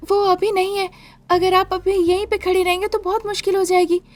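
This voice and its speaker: High-pitched, pleading young wife, acted by a woman. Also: level tone, brisk, faint music behind. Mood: anxious, apologetic. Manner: plaintive, pleading, polite. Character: frightened, pleading young wife of an abusive drunkard. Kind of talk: dramatised Hindi audio story.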